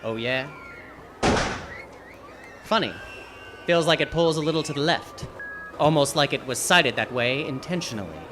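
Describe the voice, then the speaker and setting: An actor playing a hard-boiled, wisecracking detective who narrates and talks with dry wit in a live old-time radio noir. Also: male voice, on the high side, normal speed, pauses often, soft background music. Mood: cool needling. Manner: dry, deadpan, wry.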